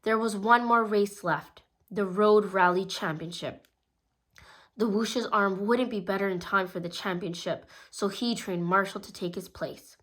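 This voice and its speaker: A woman reading a children's storybook aloud in a gentle, steady storytelling manner, with small slips now and then. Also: mid-range voice, measured pace. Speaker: storybook reader. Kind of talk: children's read-aloud narration.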